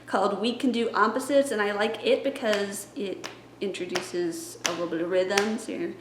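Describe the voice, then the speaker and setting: A woman's voice: medium pitch, steady tone, moderate pace, background noise. A young woman, a children's librarian, talks through her story time plans and breaks into chanted rhymes and songs. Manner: playful, sings and chants rhymes. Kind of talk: planning story time for viewers. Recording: echoey room with faint music.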